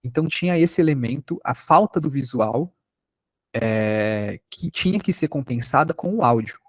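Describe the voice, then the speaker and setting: A man's voice: medium pitch, lively intonation, moderate pace, no room sound, clean studio sound. A man with a Brazilian accent giving an informal online podcast workshop lecture in an explanatory, conversational way. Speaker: teacher, podcast maker.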